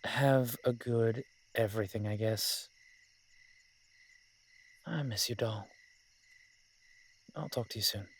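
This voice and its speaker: Man acting slow-talking lovesick mafia boss. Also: medium pitch, slight background noise. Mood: rambling, tender. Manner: halting, rambling, drawn-out pauses, occasionally swearing. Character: lovesick mafia boss in hiding, rambling, tender, wry. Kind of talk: roleplay audio drama, intimate voice message monologue.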